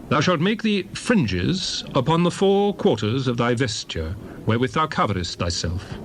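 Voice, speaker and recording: A man giving a solemn, declarative, dramatized reading of King James scripture in measured phrases. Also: mid-range voice, sing-song, slight background noise. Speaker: Bible reader.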